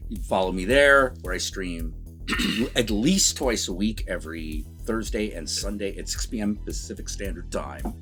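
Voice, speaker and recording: A man's voice: sits low, normal speed, a bit bright, soft background music. A man co-hosting a movie review vlog, talking casually with wry, informal asides. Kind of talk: casual conversational chat.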